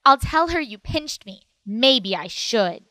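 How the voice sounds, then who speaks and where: A woman's voice: fairly high pitch, expressive, slow, bright, forceful tone, no room sound, pitched above the performer's own voice, exaggerated voice. A woman voicing a bossy, teasing little girl in a storybook reading, sing-song and tattling.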